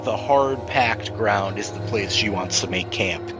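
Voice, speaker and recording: A man with a fairly low voice runs a tabletop role-playing game. He sets scenes descriptively, making them up as he talks in a conversational style. Music plays clearly behind him. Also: unhurried. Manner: descriptive, improvised scene-setting. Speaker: game master.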